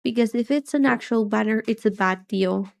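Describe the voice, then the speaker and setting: A soft-voiced woman livestreamer chatting casually in a relaxed, wry way as she reacts and muses aloud. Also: on the high side, moderate pace, creaky. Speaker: livestreamer, vtuber.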